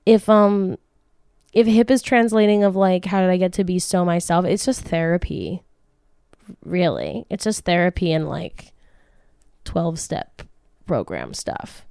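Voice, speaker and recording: A woman's voice: on the low side, lively intonation, a little slow, gravelly, mellow, smooth, no room sound, studio quality. A woman in her late twenties hosting a casual podcast, answering listener questions in a frank, chatty way with frequent swearing, laughs and restarts. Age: late 20s. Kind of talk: casual chat.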